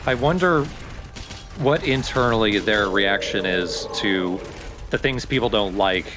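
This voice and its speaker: A man's voice: lowish voice, measured pace, background noise. A man chatting casually and musingly as co-commentator on a video game let's play.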